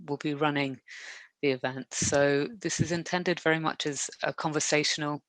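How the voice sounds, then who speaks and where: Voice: mid-range voice, fairly even intonation, a bit bright, dry recording, low-quality recording. Polite academic host on a video call. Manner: measured, polite, with many "um" fillers. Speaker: workshop chair and co-organiser. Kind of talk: hosting an online academic event.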